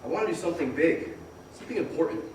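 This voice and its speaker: A man's voice: fairly high pitch, lively intonation, very fast, a little mellow, close to an everyday voice. Echoey, eager young man played by an actor. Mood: torn, earnest. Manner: earnest, boyish, exclamatory. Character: eager, restless young man dreaming of big things. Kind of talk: old-time radio drama acting on stage. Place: performance hall, far from the microphone. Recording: very echoey, distant, noisy stage recording.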